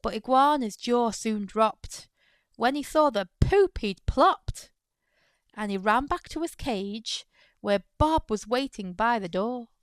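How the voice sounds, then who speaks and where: A woman hosting a children's storytime, reading a picture book aloud in an expressive, playful way. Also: on the high side, no room sound. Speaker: children's storytime host and narrator. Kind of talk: animated read-aloud narration of a picture book.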